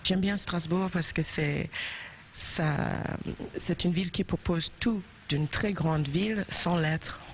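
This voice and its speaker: A woman's voice: fairly low pitch, slow pace, a little hiss or hum. A woman from South Carolina with an American accent, answering interview questions in French. She is thoughtful and hesitant, with many fillers and restarts. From South Carolina, USA. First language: English. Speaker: singer, interview guest.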